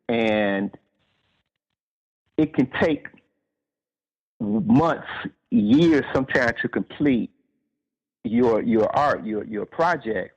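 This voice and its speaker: A man's voice: medium pitch, darkish tone, dull audio. A man being interviewed as an author, telling stories conversationally and often repeating words as he thinks.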